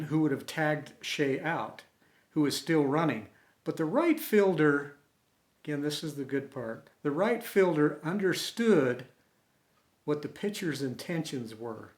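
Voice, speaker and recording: A man reading a story aloud as host, with expressive, dramatic delivery. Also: fairly high pitch. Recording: roomy, echoey home recording.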